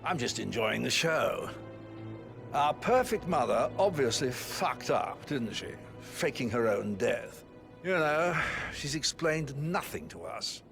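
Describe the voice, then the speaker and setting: An actor playing a mocking, sarcastic middle-aged aristocrat with a gravelly drawl, over game music. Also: male voice, medium pitch, animated, slow pace. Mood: scornful. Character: sneering middle-aged British aristocrat brother. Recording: game audio with music behind.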